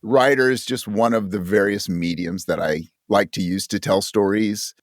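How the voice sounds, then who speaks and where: A man with a fairly low voice talks as a guest in an interview. He is reflective and thoughtful, often searching for the right words. The sound is dry, with no room echo. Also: moderate pace. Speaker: podcast guest, audio drama creator.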